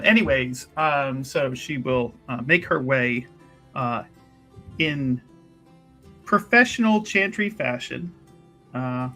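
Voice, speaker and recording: A man playing a tabletop roleplaying game, improvising his character's actions in a playful, measured way with pauses. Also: fairly high pitch, fairly bright tone. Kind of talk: improvised in-game narration and chat.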